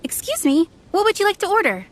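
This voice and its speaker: A woman's voice: very high-pitched, big pitch swings, fast, a little hiss or hum. A woman voicing a polite young female server at an inn, describing dishes to customers in a video game. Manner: polite, attentive, descriptive sales patter.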